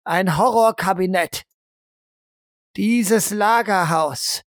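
A performer playing a stern, insistent interrogator in an audio drama, deliberate and emphatic. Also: mid-range voice, no room sound, studio quality. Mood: insistent, grave. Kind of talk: dramatic audio play acting.

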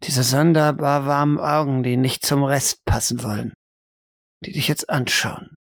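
A man narrating a roleplay audio drama as game master, in hushed, deliberate short phrases with an atmospheric delivery. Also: mid-range voice, steady tone, no echo.

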